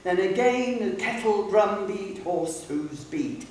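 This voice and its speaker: Slow-paced woman reciting verse, echoey hall. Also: medium pitch, expressive, a little mellow. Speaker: poetry reader. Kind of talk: dramatic narrative verse recital. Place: public hall. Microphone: distant microphone. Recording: very echoey hall, distant mic, faint hiss.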